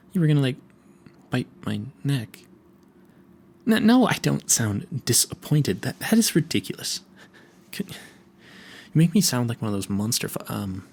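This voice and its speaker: A man's voice: medium pitch, creaky, a little mellow, slightly rough, heavily acted. A man playing a nervous, smitten and clueless vampire hunter in an audio roleplay, stammering and correcting himself as he speaks to the listener. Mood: flustered, embarrassed. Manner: flustered, stammering, halting and self-correcting.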